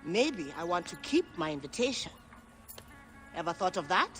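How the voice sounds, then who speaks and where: Brash, bright-voiced gambling woman. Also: on the low side, very animated, normal speed. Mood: taunting. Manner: sardonic, salty. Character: brash, suspicious female dice-gambling historian. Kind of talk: dramatic video game acting. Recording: music behind the voice.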